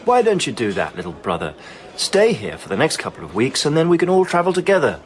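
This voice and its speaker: A man's voice: mid-range voice, huge pitch swings, quick pace. An actor playing a wry, teasing older brother and family man in a radio drama, wordy and ironic in manner. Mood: encouraging.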